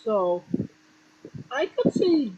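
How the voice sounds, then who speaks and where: A man's voice: very high-pitched, sing-song, extremely slow, mellow, slight background noise, low-quality recording. A man hosting a casual wrestling podcast, matter-of-fact, reeling off standings with offhand swearing. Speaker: podcast host.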